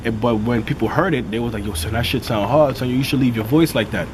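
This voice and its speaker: A man with a raspy, dark voice, a rapper talking casually in an interview and peppering his talk with "you know what I'm saying". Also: mid-range voice, fast, noisy background. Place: outdoors in a city neighborhood.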